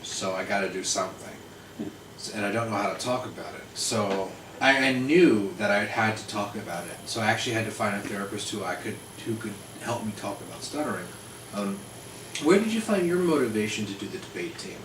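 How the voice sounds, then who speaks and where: A man's voice: lowish voice, expressive, a little fast. A man who hosts the chat, asking questions and stuttering now and then. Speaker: host. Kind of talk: casual chat. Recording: echoey room, distant mic, noisy.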